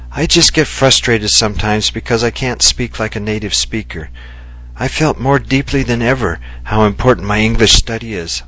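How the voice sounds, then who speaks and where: A man voicing a gentle, loving Japanese wife who speaks earnestly and reflectively. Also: fairly low pitch. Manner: earnest and heartfelt, softly reflective.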